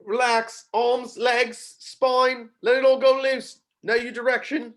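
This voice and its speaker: A man's voice: extremely high pitch, level tone, slow, fairly bright tone. A man reading a film role aloud at a table read, in an urgent, coaching tone.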